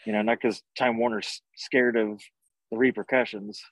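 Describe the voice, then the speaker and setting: A man's voice: mid-range voice, fairly even intonation, moderate pace, no room sound, low-quality recording. A man in his thirties chatting casually as the co-host of a wrestling podcast. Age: 30s.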